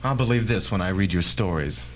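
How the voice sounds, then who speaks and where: A man's voice: deep voice, lively intonation, a little fast, slight background noise. A man playing a brash, impatient, arrogant physicist in an old-fashioned radio drama, insistent and emphatic. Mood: curt, disdainful.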